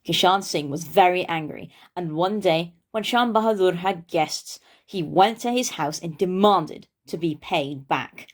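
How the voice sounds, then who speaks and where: A woman's voice: lowish voice, unhurried, few pauses. A woman narrating a story for children as the host of a read-aloud show.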